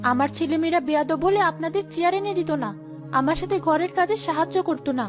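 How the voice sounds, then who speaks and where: A woman's voice: very high voice, fast talker, over music. A woman voicing a protective, anxious village mother in a cartoon, firm and fretful. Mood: indignant.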